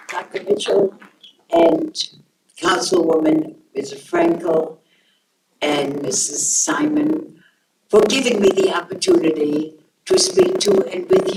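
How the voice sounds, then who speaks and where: Older woman, low voice, echoey hall. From Germany. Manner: measured, formal delivery. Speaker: guest speaker. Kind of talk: prepared talk to students. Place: school hall. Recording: echoey hall from far off, noisy.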